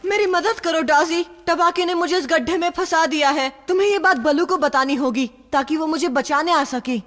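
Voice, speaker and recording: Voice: very high voice, moderate pace, a bit bright, no echo, studio quality. An actor voicing a spirited, stubborn young boy in a jungle cartoon, eager and defiant in delivery. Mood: dismayed. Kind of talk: Hindi cartoon dub acting.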